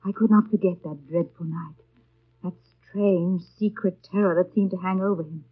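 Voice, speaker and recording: Old muffled 30s radio play, a young governess, husky voice, formal and heartfelt, full of feeling. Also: female voice, on the low side. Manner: literary, formal, heartfelt delivery. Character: young governess in love with her master, earnest and passionate. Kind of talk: 1930s radio drama acting. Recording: dull lo-fi 1930s radio recording.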